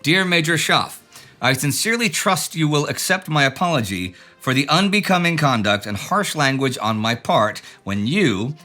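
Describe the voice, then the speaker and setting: A man's voice: mid-range voice, sharp tone. A man hosting a show, reading out viewer letters and comments with dry, joking asides. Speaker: show host.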